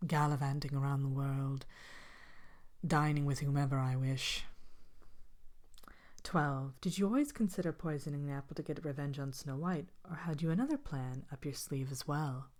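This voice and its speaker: A wicked, vain fairy-tale queen played by a woman speaks in a low, hushed voice that often slips into a whisper. She is haughty and languid, with dry wickedness, hosting an ASMR question-and-answer monologue. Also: normal speed, natural voice. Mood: smug, dry. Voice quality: soft-spoken, often breaking into whisper. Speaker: character host of an ASMR show.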